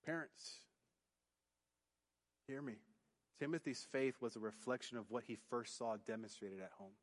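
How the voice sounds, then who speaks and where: A youth pastor preaching a sermon in church, booming then dropping to quiet emphasis. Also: male voice, normal speed. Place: church sanctuary. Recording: long hall reverb. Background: hall reverb.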